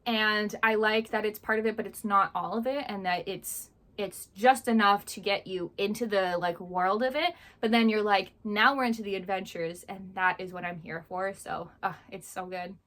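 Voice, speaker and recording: A woman's voice: mid-range voice, moderate pace, few pauses, a bit bright. A young woman in casual book-review chat, chatty and rambling, sounding a little stuffy because she is under the weather. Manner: chatty, rambling, laughs often. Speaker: book vlogger.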